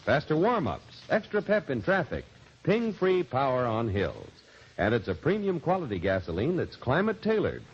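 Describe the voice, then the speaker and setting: A man's voice: mid-range voice, breathy edge. A man announcing on a 1940s radio show, delivering scripted narration in a dramatic, polished salesman's manner on a muffled old recording.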